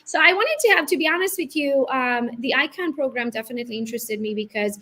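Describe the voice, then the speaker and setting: A woman's voice: high voice, lively intonation, quick pace, pushed and bright, a little hiss or hum. A middle-aged woman from Romania with a Hungarian-Romanian accent, a guest on a video call interview, talking with confidence and often ending her points with "right?". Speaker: guest real estate broker. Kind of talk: casual interview talk.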